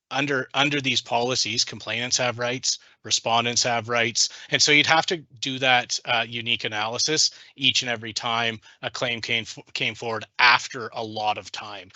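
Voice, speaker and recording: Guy at a school board meeting walking through policy, really bright tone, dry sound. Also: mid-range voice, no echo. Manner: measured, explanatory, frequent restarts. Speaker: district administrator presenting policy. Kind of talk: committee meeting discussion.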